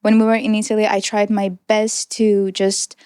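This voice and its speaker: Earnest young woman, smooth clear voice. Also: medium pitch, level tone, moderate pace, a little mellow, no room sound, clean studio sound. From Iran. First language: Persian. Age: 20s. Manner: reflective, earnest, with small restarts and light laughs. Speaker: interview guest. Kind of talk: personal testimony in conversation.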